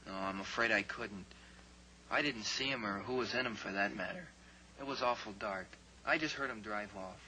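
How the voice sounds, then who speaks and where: A frightened young ranch son in an old radio play, defensive and halting. His voice sits lowish but very bright and tense. Faint hiss lies under the vintage recording. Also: poor audio. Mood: hesitant. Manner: defensive and hesitant. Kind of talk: 1950s radio drama acting.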